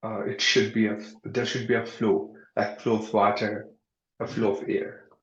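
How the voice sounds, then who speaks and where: A man's voice: flat delivery, normal speed. Indian man, Hindi native, earnest learner; roomy, slightly muffled recording. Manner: earnest, rises in pitch and loudness to stress points. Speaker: English learner and channel host. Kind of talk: conversational interview practice.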